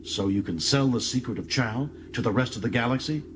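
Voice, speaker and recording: An actor playing a resolute middle-aged starship captain in an audiobook, questioning in an earnest, emphatic way. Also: male voice, mid-range voice, a little fast, background noise, voice pushed up, natural voice. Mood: probing.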